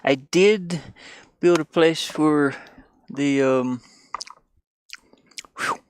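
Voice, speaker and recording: A middle-aged person streams in a loose, casual way at a medium pitch. The talk rambles along playfully, with words often repeated for fun. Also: drawn-out words, slight background noise. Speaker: game streamer. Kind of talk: casual livestream chat.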